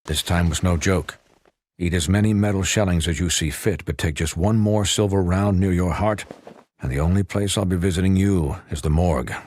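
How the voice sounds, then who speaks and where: An actor playing a stern doctor in a dramatic video game. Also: male voice, deep voice, moderate pace, darkish tone. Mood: stern warning.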